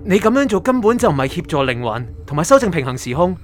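A man plays an outspoken young hero of a radio drama in Hong Kong Cantonese. He is indignant and confrontational, his high voice quick and swinging, with faint music behind. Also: very animated. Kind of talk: Cantonese audio drama acting.

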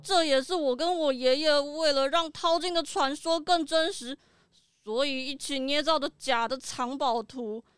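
A woman's voice: high voice, normal speed, no echo, clean studio sound, soft background music, natural voice. A woman voicing an earnest young innkeeper who frets and pleads over a frail grandfather, in a children's audio drama. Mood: regretful. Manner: fretful, pleading.

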